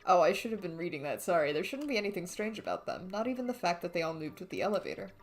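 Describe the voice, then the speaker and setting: A woman's voice: on the low side, very animated, rapid-fire, soft background music. A woman giving casual commentary as she plays a video game. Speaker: let's play streamer.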